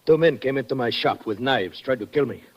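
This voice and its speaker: An actor playing a wary, wounded pet-shop owner, guarded and formal, in a 1950s radio drama. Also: male voice, mid-range voice, fairly quick, husky, lo-fi sound, close to an everyday voice. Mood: weary, guarded.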